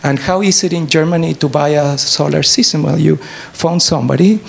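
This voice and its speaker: Chilean-accented male academic, native Spanish speaker, heavy vocal fry, emphatic explainer in a noisy lecture theatre. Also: on the high side, fairly quick. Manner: animated explaining, short emphatic statements, rhetorical questions. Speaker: professor giving a talk. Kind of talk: answering an audience question at a public lecture. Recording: noisy lecture hall recording.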